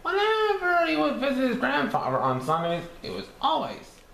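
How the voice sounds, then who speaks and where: A man voicing a cheerful cartoon sea sponge who reads a storybook aloud in a halting sing-song. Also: very high-pitched, huge pitch swings, gravelly, a bit bright, full character voice. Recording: echoey room with faint background noise.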